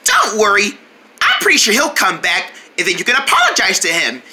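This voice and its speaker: Woman voicing a bright, caring young cartoon helper. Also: mid-range voice, huge pitch swings, a little fast, full character voice. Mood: reassuring. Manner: reassuring, simple childlike phrasing. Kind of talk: preschool children's show acting.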